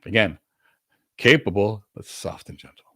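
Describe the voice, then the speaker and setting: A man's voice: on the low side, normal speed, a bit bright, no echo, studio quality. A man coaching in an informal Q&A, explaining in an assertive, emphatic way and repeating key words for stress. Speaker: career coach and host answering audience questions.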